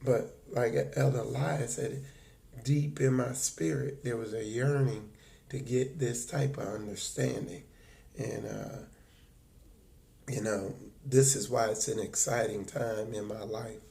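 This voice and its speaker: A man with a raspy, hoarse voice and a gravelly edge talks casually to his livestream viewers, pitched at an ordinary middle register. He rambles in halting, earnest bursts, restarting sentences as his just-woken voice strains along. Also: steady tone, dark tone. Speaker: livestream host.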